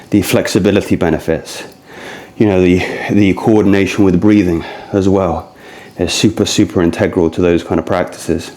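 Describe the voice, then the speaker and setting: A man's voice: deep voice, darkish tone. A man in his early thirties talking casually and discursively to listeners as a fitness vlogger, addressing them directly. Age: early 30s. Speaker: fitness vlogger leading a core routine. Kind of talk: casual rambling talk to camera.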